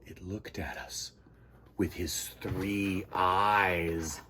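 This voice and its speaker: A man's voice: deep voice, steady tone, very slow, sharp tone, a little hiss or hum. A man hosting storytime, reading a picture book aloud in a dramatic, playful, emphatic way for children. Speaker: children's storytime host and reader.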